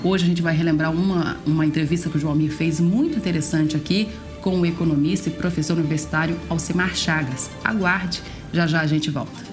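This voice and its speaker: A woman's voice: low voice, fairly even intonation, brisk, music in the background. A Brazilian woman presenting news on a regional show, explaining items with informal asides.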